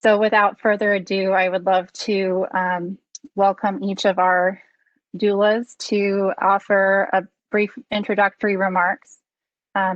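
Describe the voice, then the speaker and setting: A woman moderating a webinar panel over a video call, introducing guests with frequent ums and pauses. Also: medium pitch, no room sound, highs cut off. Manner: hesitant, many ums. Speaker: program director, panel moderator.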